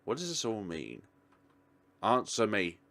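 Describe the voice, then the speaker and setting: A man's voice: lowish voice, huge pitch swings, measured pace, vocal fry, fairly bright tone, exaggerated voice. A man voicing a bewildered young male detective in a visual novel, urgent, questioning and defiant. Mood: agitated, defiant.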